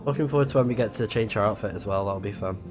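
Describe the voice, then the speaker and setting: A man's voice: lowish voice, rapid-fire. A man giving casual live let's play commentary on a video game, musing in short reactions. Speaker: game streamer. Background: game music.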